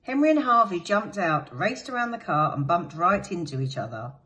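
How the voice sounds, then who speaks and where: A woman's voice: fairly low pitch, expressive, normal speed, bright, forceful tone. A woman teacher reading a picture book aloud to young children, telling the story expressively and dramatically. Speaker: infant school teacher.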